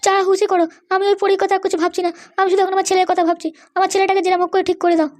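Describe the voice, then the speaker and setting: A woman's voice: very high-pitched, flat delivery, racing, darkish tone. A woman voicing an anxious older parent in an amateur cartoon, pleading and insistent. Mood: defensive, plaintive.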